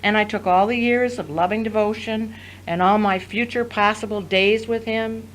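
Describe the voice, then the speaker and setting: Defensive adult sister acted by a woman, mid-pitched, emphatic, argumentative, sharp-tongued; audio drama. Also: steady tone, normal speed, a little hiss or hum, close to an everyday voice, low-quality recording.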